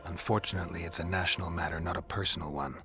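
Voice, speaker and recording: A very low, gruff American voice for a stoic monster hunter. He is terse and dryly sardonic, growling his lines in a game scene with music underneath. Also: fairly even intonation, very fast, sharp tone. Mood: matter-of-fact, wry. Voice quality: low gravelly growl, breathy at phrase ends. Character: gruff, stoic male monster hunter for hire, dry and blunt. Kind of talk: video game voice acting.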